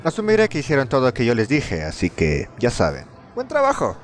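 Man playing a cocky young schemer, lively pitch. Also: fairly quick, slight background noise. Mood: confident, scheming. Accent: Latin American. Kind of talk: amateur fan dub of an adventure game.

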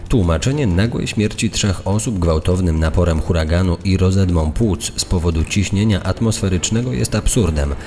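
A man playing an indignant journalist, reading an old press article in a formal, measured, accusatory manner. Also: low voice, mellow, a little hiss or hum. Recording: long hall-like reverb.

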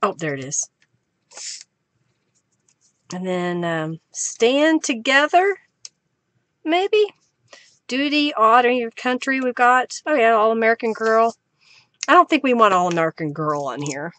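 A woman's voice: on the high side, animated, unhurried, long pauses, a bit bright, no echo. A middle-aged woman from Oklahoma, chatting casually and giggling as she hosts a live crafting stream at her desk. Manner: chatty, giggly, thanks viewers, hums while working. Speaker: crafting livestream host.

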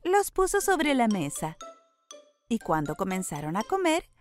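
A woman tells a children's story from a script. Her voice is high and very lively in pitch, with an expressive storytelling delivery. Music plays clearly behind her. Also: unhurried.

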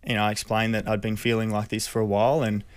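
An Australian man in his thirties telling a personal story in an interview, earnest, hesitant and measured, close on a studio mic. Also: on the low side, monotone, clear, resonant tone, dry recording. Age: 30s. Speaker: radio interview guest. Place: small radio studio. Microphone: close studio microphone.

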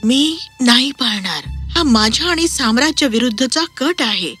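A wronged empress from a fairy tale cartoon, dramatic and indignant. Her voice is high and sharply bright, over faint music. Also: animated, a little slow, heavily acted. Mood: defiant. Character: defiant, wronged young empress. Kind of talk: animated fairy tale character acting.

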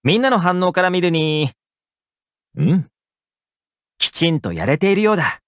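A helpful young man from an anime game, a caring housekeeper with a casual, friendly manner. His voice sits a little high and swings expressively in pitch. The sound is close and dry. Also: normal speed, no echo. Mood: earnest, friendly. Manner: casual, gently attentive, expressive. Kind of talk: Japanese anime game character voice lines.

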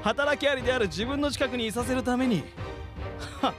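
A man's voice: extremely high pitch, fairly quick. A man playing a cocky, carefree, teasing katydid in a theatrical radio drama style. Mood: taunting. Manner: theatrical, mock-polite turning taunting. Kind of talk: live radio drama acting. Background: music.

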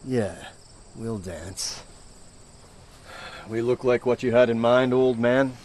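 An actor playing a tough, teasing army sergeant in an audio drama, terse and dry. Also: male voice, medium pitch, takes it slowly, darkish tone, slight background noise, close to an everyday voice. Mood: dry, challenging.

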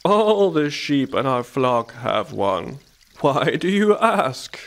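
A placid, woolly lady sheep acted by a man speaks in a raised voice. Her words are drawn out and slow, with the gentle, dreamy manner of a storybook animal. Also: mid-range voice, very animated, pitched above the performer's own voice, exaggerated voice. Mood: puzzled.

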